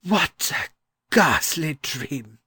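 A man voicing a dazed, kindly older scholarly gentleman in a storybook, halting and courteous. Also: a little high, animated, husky, no echo, clean studio sound, pitched above the performer's own voice, heavily acted.

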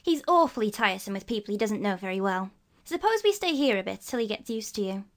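A woman reading a storybook, voicing a clever, calm young boy with a measured, persuasive manner. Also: medium pitch.

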